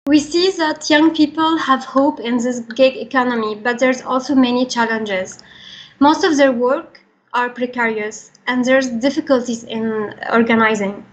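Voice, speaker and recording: A woman's voice: a little high, unhurried. A young woman with an Egyptian accent giving a prepared talk in an earnest, formal manner with careful phrasing. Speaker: regional coordinator for a young workers' organisation. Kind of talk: prepared talk, reading statement. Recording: dull, band-limited recording.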